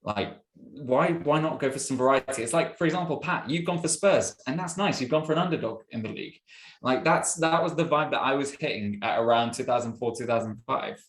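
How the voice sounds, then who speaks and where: A man chats in a relaxed, musing way on a podcast, with fillers along the way. His voice sits in a middle pitch. A noticeable room echo surrounds him. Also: fairly quick. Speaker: podcast co-host. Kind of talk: casual chat.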